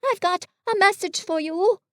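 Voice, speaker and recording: A woman's voice: extremely high pitch, normal speed, dry recording, studio quality, pitched above the performer's own voice. A woman voicing a cheerful, simple little bear in a children's story, with a naive, bumbling, eager manner. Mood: eager, innocent. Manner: naive, bumbling, muddles long words. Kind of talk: children's storybook character reading.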